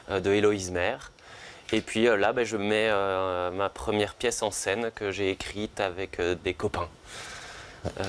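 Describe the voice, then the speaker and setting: A man with a lowish voice introduces himself haltingly, filling his pauses with hesitations. He is a guest in a TV studio interview, with a faint hum of noise around him. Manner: halting, many fillers. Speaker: theatre director and actor.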